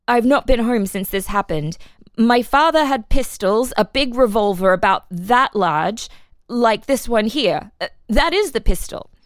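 A woman's voice: mid-range voice, dry recording, clean studio sound. A woman voicing an earnest ten-year-old farm boy giving simple, plain-spoken testimony in a dramatic reading. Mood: earnest, certain. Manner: simple, plain-spoken, answering questions literally.

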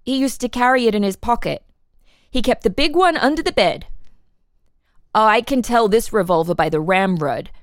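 A woman's voice: a little high, animated, moderate pace, no echo, studio quality, close to an everyday voice. A woman voicing an earnest ten-year-old farm boy giving simple, plain-spoken testimony in a dramatic reading. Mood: earnest, certain. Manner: simple, plain-spoken, answering questions literally.

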